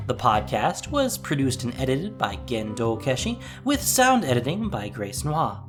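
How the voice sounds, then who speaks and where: Audio fiction narrator: mid-pitched, animated intonation, expressive scripted read over a light music bed. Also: a little slow.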